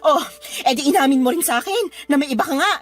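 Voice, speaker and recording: A very high-voiced young Filipino mother in a radio drama. She is betrayed and worn out, scolding with wounded sarcasm over background music. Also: animated, fast talker. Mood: outraged. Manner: scolding, sarcastic, emotionally wrought. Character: fed-up, betrayed young mother confronting her cheating live-in partner.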